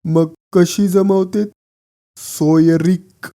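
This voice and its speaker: An actor playing a cocky village friend in a comedy cartoon, brash, bragging and teasing. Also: male voice, high-pitched, fairly even intonation, extremely slow, warm tone, exaggerated voice. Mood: breezy. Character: cocky, boastful village friend who plays the fixer. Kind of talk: exaggerated comedy cartoon dialogue.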